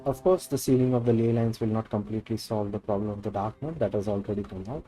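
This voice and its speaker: Indian guy streaming a game, low mellow voice, reads dialogue out loud, music in the back. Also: fast talker. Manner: switches between English and Hindi. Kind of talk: live game commentary, reading story dialogue aloud.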